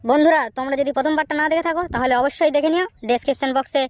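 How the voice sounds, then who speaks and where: Someone doing a cheeky little cartoon prankster boy, squeaky high, talks fast, slangy. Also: steady tone, no echo, lightly acted. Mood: chirpy. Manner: slangy, brash, fast-talking. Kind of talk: Bengali comedy cartoon dialogue.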